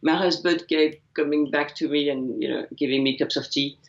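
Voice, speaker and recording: A woman from France with a French accent, a guest speaking in English on a video call, pausing often with fillers. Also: deep voice, fairly even intonation, a little hiss or hum. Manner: hesitant, many fillers. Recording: dull lo-fi call audio.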